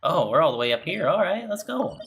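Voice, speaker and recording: A man's voice: on the high side, big pitch swings, a little fast, vocal fry, fairly bright tone, slight background noise. A man giving casual, laid-back playthrough commentary as a gaming streamer, muttering short reactions.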